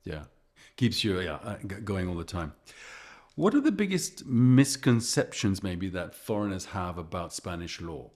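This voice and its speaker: Male podcast host, lowish voice, chatty, stumbles and repeats words while asking questions. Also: sing-song, moderate pace, a little mellow. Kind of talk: casual interview.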